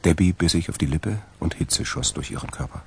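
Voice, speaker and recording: A man acting as the narrator of a scripted crime radio drama, with a gravelly voice. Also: sits low, fairly quick, heavily acted. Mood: measured storytelling. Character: male narrator of a crime story.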